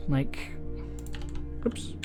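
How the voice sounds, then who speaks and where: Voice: extremely slow, a little mellow. A streamer who thinks aloud while drafting a journaling game entry, often hesitating, trailing off and rereading drafted lines. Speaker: streamer and writer. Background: faint music and keyboard typing.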